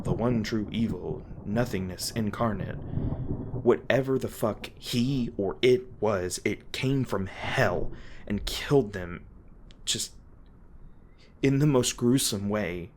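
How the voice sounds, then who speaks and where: Man playing a troubled monster hunter, lowish voice, halting emotional delivery, tender under a gruff front, audio roleplay, audible background ambience. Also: a little mellow. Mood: grim, haunted, then agitated, bitter. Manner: halting, stammering, emotional, swearing. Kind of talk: intimate dramatic audio roleplay addressed to listener. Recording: noticeable background noise and ambience.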